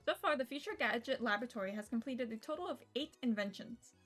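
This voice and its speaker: A woman's voice: on the high side, expressive, fast, fairly bright tone. A young woman live streaming a game, rambling and joking casually, swearing now and then and reading text aloud. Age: young adult. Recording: music playing behind the voice. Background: visual novel game music.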